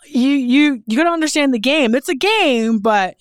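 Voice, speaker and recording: A Canadian woman with a smooth, fairly high voice talks candidly as a guest in a casual interview. She tells stories with emphasis in a close, dry studio sound. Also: expressive, moderate pace, no room sound. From Newfoundland, Canada. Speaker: guest, fashion photographer and creative director.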